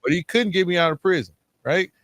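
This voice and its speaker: A man's voice: high-pitched, fairly quick, fairly bright tone, no room sound. A middle-aged man telling a personal story casually, informal in his wording and acting out both sides of remembered exchanges. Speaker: podcast guest telling his own story. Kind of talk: casual storytelling with re-enacted dialogue.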